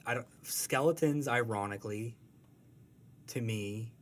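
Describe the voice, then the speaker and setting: A man's voice: medium pitch, unhurried. A man chatting casually as an interview guest, thoughtful and hesitant as he answers. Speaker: comic strip artist, interview guest.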